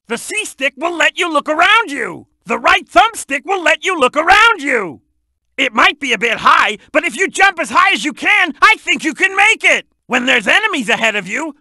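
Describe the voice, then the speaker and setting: A man voicing a brash, gruff, excitable crocodile detective, a loud and streetwise video game sidekick giving emphatic hints. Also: very high voice, no echo, studio quality, heavily acted. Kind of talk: animated video game voice acting.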